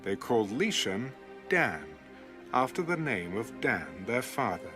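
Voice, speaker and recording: Man, audiobook scripture narration, measured delivery, varied melodic intonation, music underneath. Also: medium pitch, very animated. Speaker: Bible narrator.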